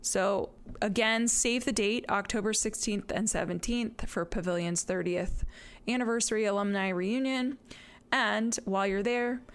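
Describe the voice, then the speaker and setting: Woman podcast host, upbeat and brisk, mid-range voice. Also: medium pitch, fairly even intonation, clean tone. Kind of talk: podcast intro and interview.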